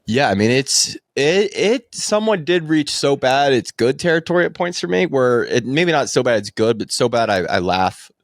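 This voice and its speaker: A man's voice: medium pitch, very animated, moderate pace, hardly any pauses, dry recording, studio quality. A man talking casually and bluntly as a movie podcast panelist, in an informal conversational style. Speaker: movie podcast co-host or guest. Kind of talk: casual movie-review chat.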